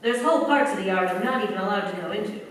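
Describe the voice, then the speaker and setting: A woman's voice: mid-range voice, very fast, natural voice. A woman playing an earnest, flustered young American factory worker in a staged 1940s-style radio play, hesitant and wryly candid. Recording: echoey hall, distant stage mic, faint noise.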